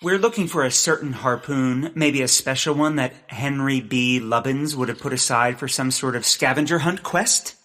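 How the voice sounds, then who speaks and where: A man's voice: medium pitch, moderate pace, few pauses, a bit bright, natural voice. A man playing an earnest, bumbling amateur sleuth in a comedic audio drama, theatrical in delivery. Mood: pondering.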